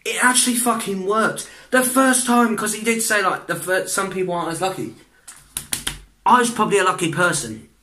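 A young man, chatting in a rambling way for a reaction vlog in his bedroom, restarting sentences, clearing his throat and swearing often. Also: high voice, brisk, bright, forceful tone, slight background noise. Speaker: vlogger reacting to videos. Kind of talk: casual reaction vlog chat. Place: small bedroom indoors.

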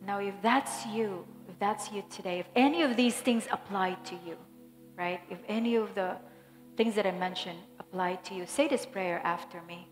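A woman's voice: medium pitch, moderate pace. Fervent woman preaching in an echoey hall. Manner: fervent, declaring prayer then softer guiding. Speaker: pastor. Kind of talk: sermon prayer. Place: large church stage. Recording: echoey hall with soft music.

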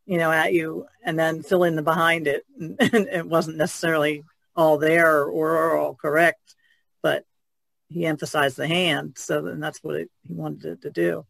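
A woman's voice: low-pitched, level tone, moderate pace, creaky, a bit bright, dry recording. A woman speaking as a panelist in a casual podcast chat.

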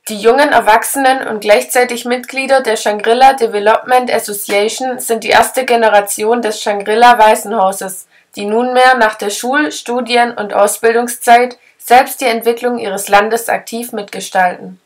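A woman delivers scripted documentary-style narration. Her voice is a touch higher than average. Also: steady tone, moderate pace, hardly any pauses.

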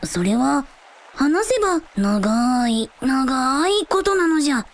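Tiny chubby dragon kid for a radio play, high voice, pompous old-timey talk but timid underneath. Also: female voice, very animated, slow pace, full character voice. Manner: archaic, pompous speech that slips into pleading. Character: small chubby child-form dragon, pompous yet timid. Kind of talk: Japanese radio drama acting.

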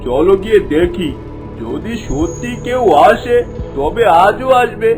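An actor voicing a scheming, lecherous village landlord in a cartoon, haughty and menacing. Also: male voice, high-pitched, very animated, a little slow, over music, exaggerated voice. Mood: sly, persuasive. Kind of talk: cartoon voice acting.

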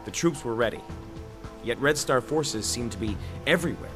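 A man's voice: medium pitch, expressive, moderate pace, natural voice. A man voicing a war-weary journalist who narrates a war game's story in a grave tone, over music. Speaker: game narrator and soldier. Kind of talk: game cutscene voice acting. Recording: game audio with music behind.

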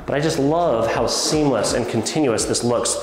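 A man's voice: mid-range voice, moderate pace. Enthusiastic man touring an echoey house. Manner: upbeat and enthusiastic, pointing things out as he walks. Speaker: real estate agent giving a house tour. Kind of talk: walkthrough house tour narration. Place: empty large house, echoey tiled rooms and basement. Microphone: handheld camera, small clip-on mic. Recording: roomy echo from bare rooms.

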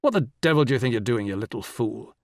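A man's voice: on the high side, animated, brisk, no room sound, clean studio sound. A man voicing an irritable, dryly sardonic adult man in a story, curt and firm. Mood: angry, curt.

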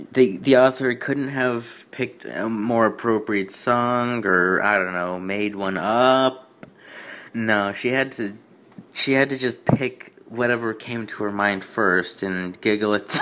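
Male host, lower register, casual mocking commentary on amateur writing, halting and sardonic. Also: pushed and bright. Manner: halting, repeats himself, sardonic. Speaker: host reading fan fiction aloud.

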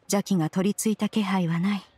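A woman's voice: mid-range voice, fairly even intonation, brisk, mellow, slight background noise, close to an everyday voice. A woman voicing a proud, composed female mystic in a Japanese fantasy game, speaking with archaic, authoritative wording. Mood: composed, haughty. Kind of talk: Japanese anime game voice acting.

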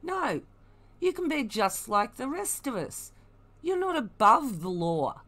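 A woman's voice: fairly high pitch, sing-song, slow, fairly bright tone. A woman giving blunt, emphatic, mocking commentary, unscripted and opinionated. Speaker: online commentator.

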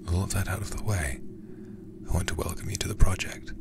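A man streaming in a hushed ASMR style, reading horror game text deliberately into a close microphone. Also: low-pitched, gravelly, very noisy.

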